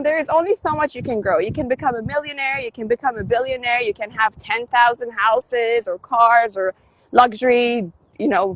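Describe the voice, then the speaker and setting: A woman's voice: fairly high pitch, a little hiss or hum, rough recording. A woman from Canada, a DJ and life coach, talking upbeat and motivational in a livestream interview, with emphatic swings. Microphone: phone livestream.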